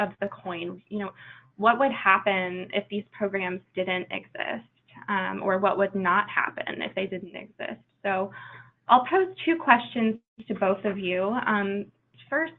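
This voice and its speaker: A woman moderating a webinar, thanking her guests often and posing questions in an appreciative manner. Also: medium pitch. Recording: tinny phone-like line.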